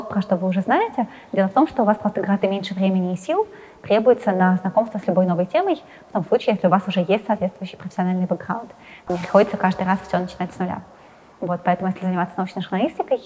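A young adult woman answering interview questions while seated in a studio, talking quickly with frequent hesitant fillers. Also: mid-range voice, dark tone, long reverb tail, rough recording. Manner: hesitant fillers, fast and talkative. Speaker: interviewee, science journalist. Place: indoor studio with plain wall, seated in armchair.

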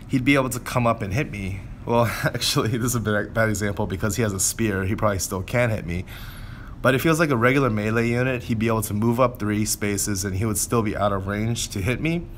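A man's voice: fairly low pitch, quick pace, a little hiss or hum. A man commentating on gameplay in a casual way, thinking aloud and explaining as he goes.

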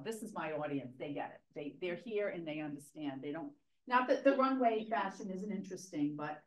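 A middle-aged woman fashion historian, starting a public lecture in a library meeting room, loud, chatty and enthusiastic, heard from a camera in the audience. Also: low voice, poor audio. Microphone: far camera in the audience.

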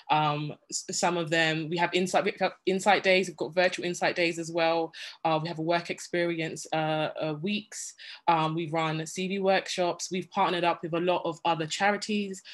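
A woman from Guyana speaking as a panelist on a video call, talking earnestly with many restarts and fillers. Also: low-pitched.

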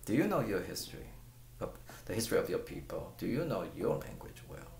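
A man's voice: fairly low pitch, big pitch swings, fairly quick, gravelly, darkish tone, roomy sound, slight background noise. A middle-aged man whose first language is Chinese, talking casually to his webcam at home as a guitar teacher. He rambles, repeats words and asks the viewer pointed questions. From China. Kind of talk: casual talk to camera. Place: small room at home. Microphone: webcam at close range.

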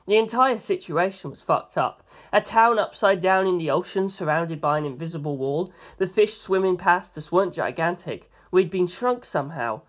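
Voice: medium pitch, moderate pace, few pauses. A narrator reading scripted first-person fiction aloud in an audiobook style.

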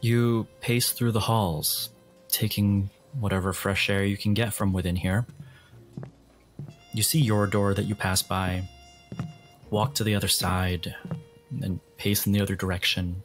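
A man narrating a tabletop game as game master in a deliberate, atmospheric, descriptive way. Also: lowish voice, flat delivery, soft background music.

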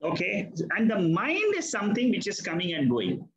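A man's voice: high-pitched, wildly swooping pitch, moderate pace, fairly bright tone, roomy sound, highs cut off. An older man, a Vedanta teacher, patiently explaining answers to a student in an online class. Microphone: video call.

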